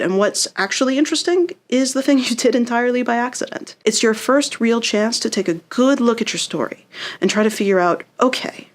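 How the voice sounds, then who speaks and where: A woman's voice: a little high, slight background noise. Woman teaching in an encouraging, conversational way. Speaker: writing instructor. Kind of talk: instructional lesson delivery.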